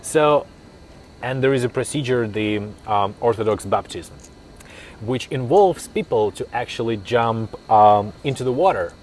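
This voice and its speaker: A man's voice: mid-range voice, lively intonation, measured pace, darkish tone, a little hiss or hum. A young man from Kazakhstan whose first language is Russian, answering interview questions thoughtfully with many fillers and restarts. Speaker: interview guest, linguist.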